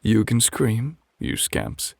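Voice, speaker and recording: A man voicing a grumpy, indignant male bear who scolds in a measured, old-fashioned way in a bedtime story. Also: fairly low pitch, wildly swooping pitch, creaky, no room sound, studio quality, pitched above the performer's own voice, exaggerated voice. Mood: stern, scolding.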